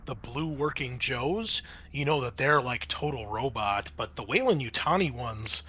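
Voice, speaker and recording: A man's voice: mid-range voice, animated, a little slow, pushed and bright, husky, muffled recording. A man narrating a tabletop horror game as game master, improvising in a conversational, explanatory way.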